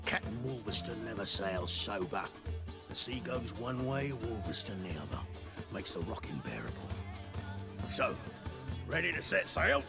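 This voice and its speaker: A boozy old-school sea captain from a fantasy game. His slurred voice is low and gravelly, swinging widely as he blusters and swaggers, with music playing behind him. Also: big pitch swings, measured pace, exaggerated voice. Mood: drunken bravado. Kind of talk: video game character acting.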